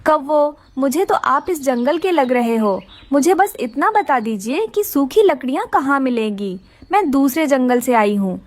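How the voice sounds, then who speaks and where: A woman performs a small, earnest female cartoon bird with a very high voice. She speaks in plaintive exclamations, with lively swings in pitch, politely pleading her case. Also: a little slow, hardly any pauses, no echo. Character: distressed, hardworking, polite young female bird. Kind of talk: Hindi children's cartoon character voice.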